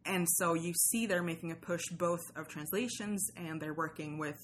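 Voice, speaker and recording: A woman's voice: lowish voice, fairly even intonation, moderate pace. A woman being interviewed as a podcast guest, explaining things casually in a rambling, slangy way. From Sweden. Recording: clearly noisy background ambience.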